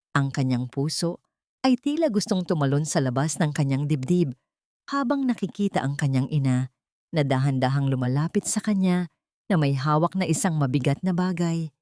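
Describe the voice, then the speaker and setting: Woman narrator, lower-pitched voice, solemn suspenseful delivery, scripted drama storytelling, dry close recording. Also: dark tone, no room sound. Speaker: narrator of audio drama stories.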